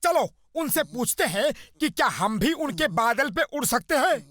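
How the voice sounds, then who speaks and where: An actor voicing a plump, gluttonous, bumbling cartoon man, loud, excitable and comic. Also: high voice, normal speed, dry recording. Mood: puzzled, surprised. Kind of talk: exaggerated Hindi cartoon voice acting. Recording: loud music behind.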